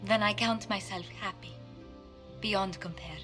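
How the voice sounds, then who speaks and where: An elegant noblewoman ambassador, played by a woman for a game cutscene. Her voice sits at a medium pitch with a formal, tender, devoted manner. Music plays clearly behind her. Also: a little slow, lightly acted. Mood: loving. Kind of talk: video game cutscene acting. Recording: background music under the voice.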